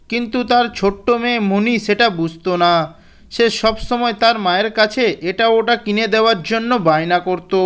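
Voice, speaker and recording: A woman's voice: mid-range voice, clear, resonant tone. A woman narrating a scripted children's story as its storyteller.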